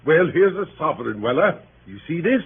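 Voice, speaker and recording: An actor playing a peremptory, irate middle-aged country gentleman, emphatic in the 1930s radio manner. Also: on the high side, sing-song, brisk. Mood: urgent. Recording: scratchy phone-like 1930s radio recording.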